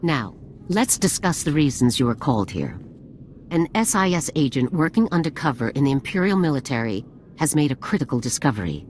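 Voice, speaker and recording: Low-voiced, commanding female general. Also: sing-song, fairly quick, a little mellow, a little hiss or hum. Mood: stern, businesslike. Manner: formal, commanding, clipped. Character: stern older female military general, authoritative and brisk. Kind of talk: scripted video game acting.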